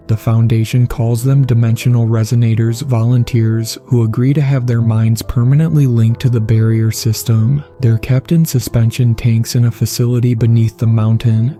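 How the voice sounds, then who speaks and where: A man's voice: medium pitch, mellow, natural voice. A man voicing a guilt-ridden father and secret paranormal investigator, grave and confessional, in a horror story reading. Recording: music behind the voice.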